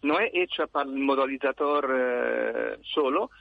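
A man's voice: medium pitch, gravelly, no room sound. Italian man on a tinny phone line. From Italy. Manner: halting, many fillers and restarts, mixes in Italian words. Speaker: guest researcher. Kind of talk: phone interview.

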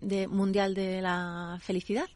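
A woman from the United States talks as an interview guest in an earnest, reflective way. Her voice sits at an average pitch. The studio sound is close and free of echo. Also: level tone, measured pace, a little mellow. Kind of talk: conversational radio interview. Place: radio studio.

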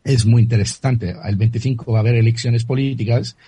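A man's voice: medium pitch, steady tone, fairly quick, dark tone, slightly rough, no echo. A man from Italy with an Italian accent, a guest on a radio interview who often repeats words while searching for the right term.

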